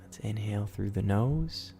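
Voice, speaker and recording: A man leading a guided sleep meditation as host, in a soothing, gentle, instructional manner. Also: on the low side, lively intonation, a little slow, gravelly, faint music behind.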